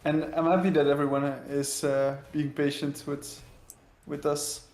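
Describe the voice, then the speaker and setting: Guy casting esports over a voice call, slightly higher voice, kinda echoey. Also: level tone, measured pace, a little mellow. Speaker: esports caster. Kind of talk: live game commentary. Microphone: new microphone, heard through a voice call.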